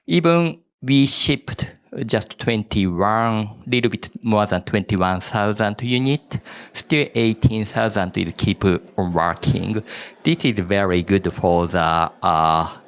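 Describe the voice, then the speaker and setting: Man, startup founder on a panel, low voice, very slow and deliberate. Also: smooth. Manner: measured and deliberate, with pauses. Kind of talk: self-introduction on a conference panel. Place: stage at a conference event.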